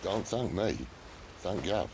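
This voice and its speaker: Brief: gruff British fantasy rogue leader, very deep and animated, blunt and wry, over noisy ambience. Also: male voice, slow pace. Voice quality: deep, gravelly. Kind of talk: cinematic fantasy game acting.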